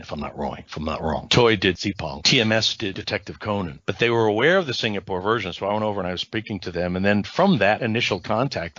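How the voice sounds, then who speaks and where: A man's voice: deep voice, sharp tone, husky, dry recording, dull audio. A man being interviewed as a voice actor on a podcast, talking at length in an explanatory way and often checking with "right?". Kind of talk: podcast interview, recounting career.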